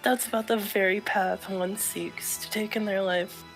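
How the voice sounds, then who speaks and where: A woman voicing a grave scholar in a game, giving quiet, measured exposition. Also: medium pitch, fairly even intonation, faint music behind, lightly acted, rough recording. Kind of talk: amateur dub of game dialogue.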